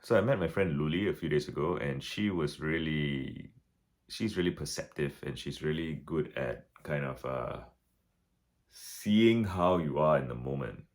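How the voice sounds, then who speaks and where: A man talking through his thoughts in a casual reflective vlog, with a deep relaxed voice, many restarts and fillers. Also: unhurried. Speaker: vlogger.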